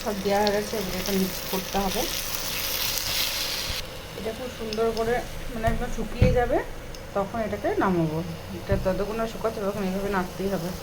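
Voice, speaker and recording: A woman's voice: mid-range voice, fairly quick, pauses often. Bengali woman cooking amid noisy sizzle. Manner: step-by-step narration of own actions. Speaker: home cook vlogger. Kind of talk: casual cooking demonstration. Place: home kitchen, indoors. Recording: noisy home kitchen, frying and sizzling. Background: frying, sizzle, cooking noise.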